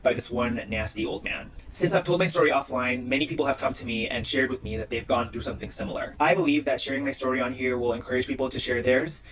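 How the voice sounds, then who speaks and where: A man's voice: medium pitch, fast, few pauses, a crowd in the background, rough recording. A man from Indonesia talking casually to the camera in short social media clips, with a chatty style full of "like". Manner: chatty, heavy use of "like".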